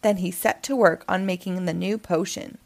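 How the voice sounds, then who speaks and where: A woman reading a children's picture book aloud in an animated storytelling style. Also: lowish voice, moderate pace, gravelly.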